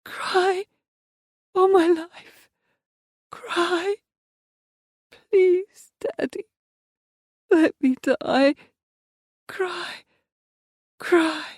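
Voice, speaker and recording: A woman performing a broken, tormented young woman in an audiobook, speaking in halting one-word fragments that drift between whispers and cries. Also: extremely high pitch, no room sound, clean studio sound, full character voice. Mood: pleading. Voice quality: breathy. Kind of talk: audiobook inner-monologue performance.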